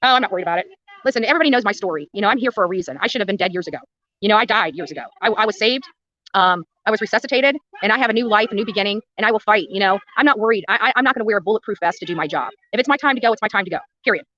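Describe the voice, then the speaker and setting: A woman's voice: mid-range voice, racing, bright, forceful tone, highs cut off. An American woman ranting to livestream viewers in a blunt, combative way, repeating phrases for emphasis. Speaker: livestream host and protest activist.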